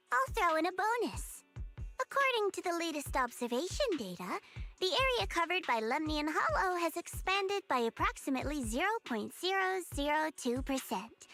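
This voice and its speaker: A woman's voice: sits high, fairly bright tone, heavily acted. A woman voicing a teasing, self-assured young female agent in a video game, with a playful, smug, coaxing delivery. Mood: businesslike, confident.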